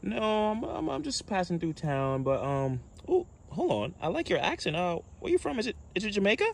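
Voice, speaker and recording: Clueless-sounding man, swooping pitch. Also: very animated, normal speed, creaky.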